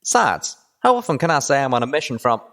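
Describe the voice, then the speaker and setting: A man's voice: mid-range voice, sing-song, a little fast, a bit bright, pitched above the performer's own voice. A man voicing a teasing, easygoing male pony cowboy in an audiobook, with a wry, folksy manner. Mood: amused.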